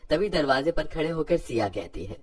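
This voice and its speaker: कहानी सुनाता पुरुष वाचक, हल्का संगीत. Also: male voice, on the high side, animated, normal speed, soft background music. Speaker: narrator. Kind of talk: scripted story narration.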